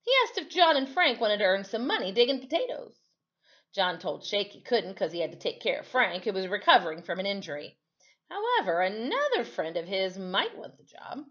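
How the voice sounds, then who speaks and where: Woman doing storytime read-aloud, really animated teacher-like delivery, kinda dull lo-fi audio. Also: medium pitch, brisk. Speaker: storyteller reading aloud to children.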